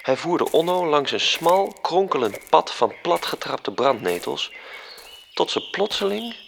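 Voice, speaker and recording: A man's voice: fairly high pitch, big pitch swings, a little slow, gravelly, a little hiss or hum, heavily acted. An actor narrating a radio drama as an angel telling a story to his superior. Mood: calm.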